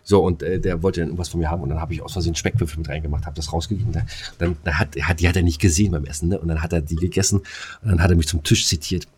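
Male podcast co-host with a notably low voice, blunt, opinionated, informal conversational delivery. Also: steady tone, very fast, a little mellow, slight background noise. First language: German. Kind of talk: casual chat.

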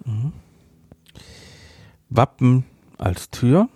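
A man in a casual podcast review chat, talking in a middle-pitched voice that stops and restarts, full of fillers. He jumps in quickly on his co-host. His voice is recorded close and dry, with no room sound. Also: expressive, darkish tone, husky, no echo.

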